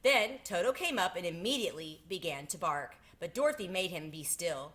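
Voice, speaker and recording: A woman's voice: lowish voice, expressive, moderate pace, a bit bright. A woman reading a children's storybook aloud as a storyteller.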